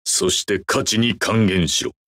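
A man's voice: low voice, measured pace, a bit bright, no echo, studio quality. A man voicing a stern, proud horned demon trainer in a mobile game, giving clipped, imperious, grandiose commands. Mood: commanding. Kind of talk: anime game character voice acting.

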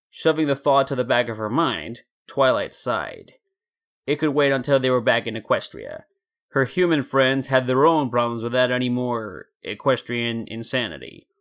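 A man's voice: medium pitch, a bit bright. A man narrating fan fiction as a scripted story.

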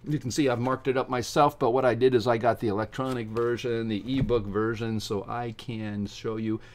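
A middle-aged man with an American accent giving casual, opinionated vlog commentary, emphatic, reading aloud haltingly from a book. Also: mid-range voice, fairly even intonation, darkish tone. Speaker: science video host. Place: small home study with bookshelves.

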